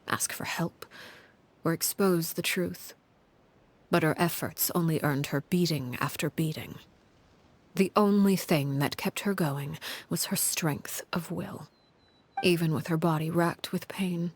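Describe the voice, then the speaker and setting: A cold, low-voiced commanding leader. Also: female voice. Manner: measured, solemn storytelling with hushed, breathy passages. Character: cold, commanding woman leader telling a grim past. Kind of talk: studio game voice acting. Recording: game audio with faint music behind.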